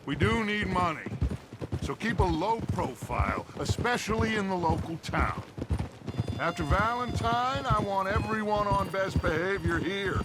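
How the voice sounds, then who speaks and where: A high-voiced American outlaw boss, grandiloquent and persuasive in a western game. He sells his ideals with theatrical charm, over loud outdoor ambience and clopping hooves. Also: lively intonation, measured pace, very noisy. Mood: earnest, persuasive. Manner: grandiloquent, persuasive, silver-tongued. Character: grandiose, charismatic middle-aged male outlaw gang leader.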